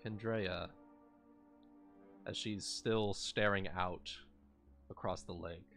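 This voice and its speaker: A man with a low, slow voice improvises narration as game master of a tabletop game. He halts often mid-phrase, and faint music plays behind him. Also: fairly even intonation, a bit bright. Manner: halting, frequently pausing mid-phrase.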